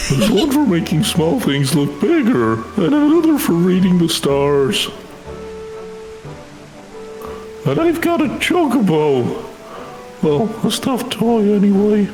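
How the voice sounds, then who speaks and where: A man voicing a small, chatty child in a video game, eager and excitable, with a squeaky voice. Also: unhurried, roomy sound, music in the background, rough recording. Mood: proud, excited.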